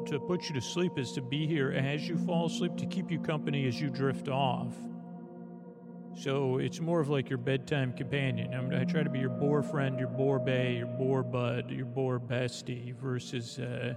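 A man hosting a sleep podcast, rambling in a loose, meandering way that drifts softer and quieter. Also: medium pitch, gravelly. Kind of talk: rambling casual monologue.

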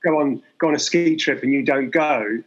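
A man's voice: a little high, normal speed, muffled recording. A man from England in his forties, a guest artist talking candidly in an interview, rambling and self-deprecating with many restarts.

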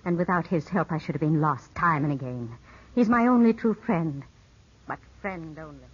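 Poised, breathy widow, old radio. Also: female voice, low-pitched. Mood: wounded dignity. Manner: poised, measured, earnest. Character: elegant, composed widow, gently sorrowful and guarded. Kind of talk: 1950s radio drama acting. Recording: dull, muffled 1950s radio broadcast.

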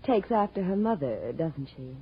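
An actress playing a cool, sharp-tongued girlfriend in 1940s radio crime style, sweetly sarcastic. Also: deep voice, very animated, moderate pace, breathy edge, full character voice. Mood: catty. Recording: muffled old-time radio recording.

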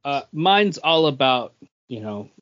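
A man's voice: mid-range voice, very animated, slow, a bit bright, highs cut off. A man hosting a podcast quiz, reading out trivia questions and bantering casually.

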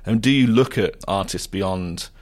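A man interviewing a guest, asking measured, thoughtful prepared questions. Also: low-pitched.

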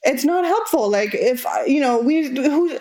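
A young woman from Argentina, with Spanish as her first language, speaks openly in a casual interview. She pads her sentences with "like" and her voice jumps higher when she gets exasperated. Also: measured pace, slight background noise. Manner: candid, many "like" fillers, lively rises when exasperated. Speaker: interview guest.

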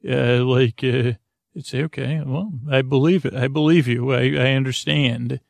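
Creaky, rambling man with soft filler words. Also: medium pitch, steady tone, no echo. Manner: slow, meandering, soothing and self-deprecating. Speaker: sleep podcast host. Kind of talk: rambling bedtime podcast talk.

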